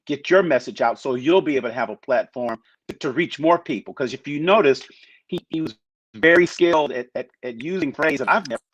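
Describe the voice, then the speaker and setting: A man's voice: mid-range voice, expressive, a little fast, no room sound. A man on a talk panel, speaking casually and rambling with many restarts. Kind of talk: casual chat. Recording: muffled lo-fi sound.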